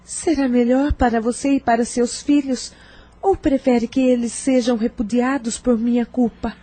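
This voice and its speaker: Actress as meek, self-sacrificing wife, high and mellow voice, audio-drama delivery. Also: female voice, fairly even intonation, moderate pace, no echo, natural voice. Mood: anguished. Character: humble, self-sacrificing young wife shamed as dishonored. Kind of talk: audio novel dramatic acting.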